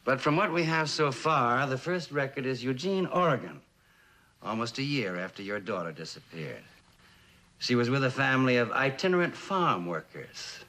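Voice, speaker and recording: A man playing an earnest, probing police lieutenant in a mid-century TV drama, speaking in a measured, questioning way. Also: medium pitch, very animated, bright, forceful tone.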